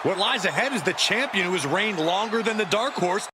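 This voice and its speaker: Excitable color commentator, wrestling game, brash, high-pitched, loud noisy backdrop. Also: male voice, a little fast. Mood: excited. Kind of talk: sports commentary in a video game.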